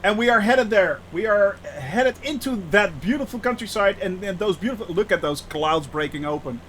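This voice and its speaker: A man's voice: high-pitched, expressive, normal speed, fairly bright tone, slight background noise. A man with a thick Dutch accent chatting casually on a live stream, hesitant with many restarts and frequent laughter. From Netherlands. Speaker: flight sim streamer.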